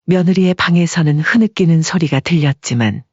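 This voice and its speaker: A woman narrates a scripted family drama as an audiobook. Her voice is husky and mid-pitched. The recording is dry and slightly muffled. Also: brisk, a little mellow, no echo. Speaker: story narrator. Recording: dull lo-fi audio.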